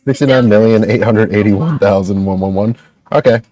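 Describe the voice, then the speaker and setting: A man's voice: lowish voice, moderate pace, warm tone, dry recording. A young man with a slightly nasal voice, co-hosting a shop livestream with laid-back jokes and asides. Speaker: co-host. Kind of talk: casual livestream banter.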